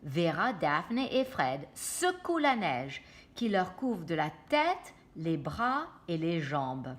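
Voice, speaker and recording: A woman reads a picture story to children at an unhurried pace. Her intonation is lively and dramatic, and she pauses for simple explanatory asides to her young listeners. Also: on the low side. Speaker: teacher reading aloud to children.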